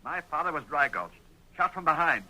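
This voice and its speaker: An actor playing a bold, vengeful young American cowboy suitor, challenging and forceful in old-time radio Western style. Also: male voice, a little high, animated, a little fast, heavily acted, poor audio. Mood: angry, accusing. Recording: vintage radio drama recording.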